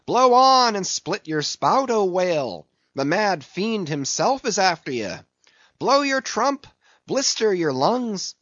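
A man's voice: medium pitch, very animated, slow, hardly any pauses, fairly bright tone, no room sound, lo-fi sound. A man voicing a jolly, reckless ship's officer on a whaler in an audiobook, boisterous and defiant. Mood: fierce glee. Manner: exclamatory, boisterous, salty. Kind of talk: audiobook character voice.

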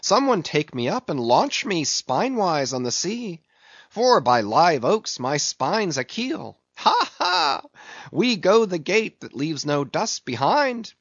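A man's voice: mid-range voice, big pitch swings, takes it slowly, hardly any pauses, a bit bright, no room sound, dull audio. A man voicing a jolly, reckless ship's officer on a whaler in an audiobook, boisterous and defiant. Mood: exhilarated, laughing. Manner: exclamatory, boisterous, salty. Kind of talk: audiobook character voice.

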